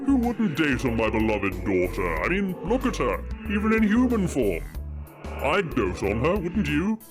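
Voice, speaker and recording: A man's voice: fairly high pitch, huge pitch swings, measured pace, music in the background. A man playing an effusive, theatrical ancient dragon king, a doting and teasing father, in an audio drama. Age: older.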